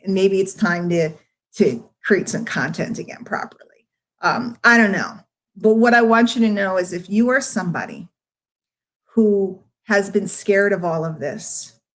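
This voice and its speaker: A middle-aged woman speaking casually to her online followers as a marketing coach, candid and rambling, with emphatic points made straight to the listener. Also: mid-range voice. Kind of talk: casual live-stream chat to followers.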